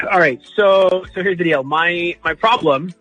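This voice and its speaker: A man calling in to a radio show, telling his story casually in an earnest, halting way. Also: high voice, sharp tone, highs cut off, faint music behind. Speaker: caller.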